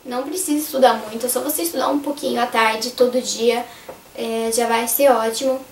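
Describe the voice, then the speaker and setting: A young Brazilian woman talks straight to her viewers in a loose, rambling vlog. Her voice is high and a little hoarse. She sits back from the mic, and the echoey room comes through around her. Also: steady tone, a little fast, distant mic, a little hiss or hum. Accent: Brazilian Portuguese. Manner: chatty, rambling, addresses viewers directly. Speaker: vlogger. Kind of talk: casual advice vlog.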